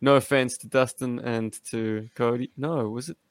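A man's voice: mid-range voice, fairly even intonation, normal speed, no room sound. Jokey man chatting, dry close sound. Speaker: podcast host and toy collector. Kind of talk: casual chat about action figures.

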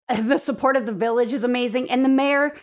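A woman's voice: on the high side, steady tone, a little fast. A woman giving enthusiastic, informal answers as a guest in a casual interview, speaking as the president of a local organization.

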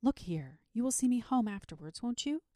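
A woman's voice: on the low side, normal speed, gravelly, no room sound, studio quality. Woman voicing a jolly, self-satisfied storybook dragon. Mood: impressive. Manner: drowsy clipped murmuring, blustering when roused. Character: sleepy, self-satisfied male dragon, jovial and bumbling. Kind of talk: storybook character voice.

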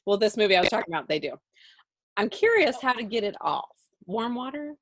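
A woman's voice: a little high, sing-song, fairly quick, no echo, low-quality recording. A young woman co-hosting a casual chat show, chatty and tired at the end of a workday, laughing often and talking to her listeners. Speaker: podcast co-host.

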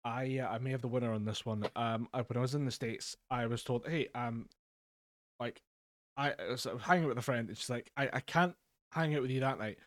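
A man's voice: mid-range voice, a little fast, fairly bright tone, no echo, clean studio sound. A young man co-hosting a casual podcast, telling stories in a rambling way with frequent swearing and laughter. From Scotland. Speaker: podcast co-host. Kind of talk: casual chat. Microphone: home mic over a voice call.

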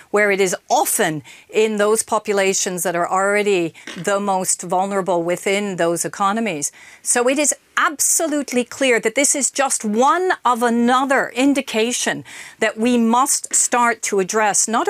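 A woman's voice: medium pitch, no echo. A woman speaking as an expert guest in a news interview, articulate, emphatic and formal. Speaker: interviewed expert, organization co-president.

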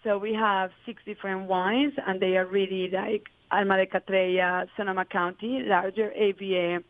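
A woman's voice: medium pitch, flat delivery, normal speed, no room sound. Woman winemaker, earnest guest, dry thin phone-like audio. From Colombia. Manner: earnest, flowing explanations with many fillers. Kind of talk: interview answers.

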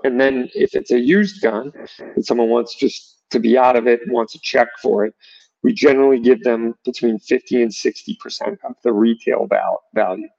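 A man's voice: mid-range voice, steady tone, normal speed, creaky, darkish tone, no echo, lo-fi sound. A man chatting casually as an interview guest, explaining things and acting out bits of imagined dialogue. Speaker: gun shop owner, podcast guest.

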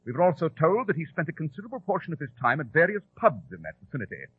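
An actor playing a shrewd, sardonic English gentleman detective with precise, lofty diction in a 1940s radio drama. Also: a little high, huge pitch swings, very fast, husky, no echo, heavily acted. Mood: purposeful. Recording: dull, muffled old radio recording.

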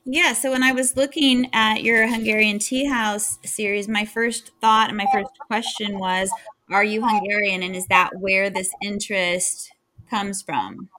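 A woman's voice: mid-range voice, steady tone, unhurried, sharp tone. A woman hosting a writing podcast, chatting eagerly with her guest. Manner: upbeat, eager. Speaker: podcast host. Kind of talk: casual interview chat.

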